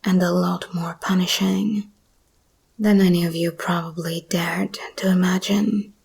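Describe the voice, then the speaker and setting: A woman voicing a seductive, menacing female monster hybrid in an ASMR roleplay, speaking in a breathy whisper with slow, deliberate, teasing delivery. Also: on the low side, level tone, a little mellow, heavily acted. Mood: bitter, menacing.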